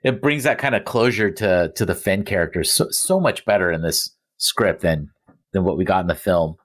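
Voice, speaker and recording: A man chatting casually and giving his opinions as a podcast panelist, with somewhat halting phrasing. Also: deep voice, expressive.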